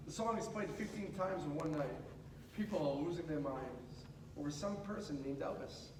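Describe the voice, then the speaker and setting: A high-voiced man reads a storybook aloud with dramatic pauses and drawn-out emphasis. He is some distance from the mic in an echoey room, with clear noise behind him. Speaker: radio host reading a storybook aloud. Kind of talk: animated read-aloud of a children's picture book.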